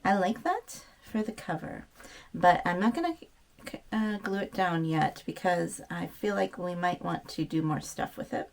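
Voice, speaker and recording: A woman's voice: lowish voice, normal speed, creaky, a little hiss or hum. A woman chatting casually through a craft project, thinking aloud and correcting herself as she works. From United States. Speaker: craft channel host. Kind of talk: casual craft-along chat, narrating while working.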